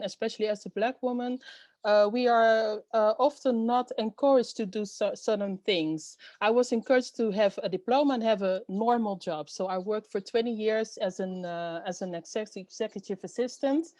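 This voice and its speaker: A middle-aged woman from the Netherlands, answering interview questions earnestly with many pauses and fillers. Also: mid-range voice, darkish tone, dry recording. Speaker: guest, storyteller and event host.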